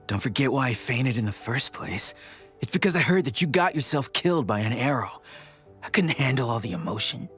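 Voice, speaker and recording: An actor voicing a good-natured, teasing war veteran in a video game. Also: male voice, mid-range voice, lively intonation, fairly quick, a bit bright, faint music behind, close to an everyday voice.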